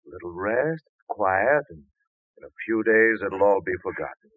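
An actor playing a calm, reassuring doctor in an old radio drama. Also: male voice, fairly low pitch, unhurried, no echo. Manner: steadying, reassuring. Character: calm family doctor and friend. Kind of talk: 1940s radio acting. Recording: tinny old radio recording.

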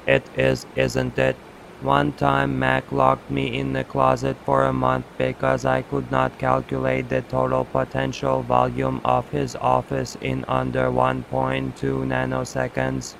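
An actor playing a mistreated, whimpering office computer with a sly streak, in a slow, stilted, melodramatic delivery. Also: male voice, mid-range voice, completely flat delivery, gravelly, a little hiss or hum, low-quality recording. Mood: pitiful.

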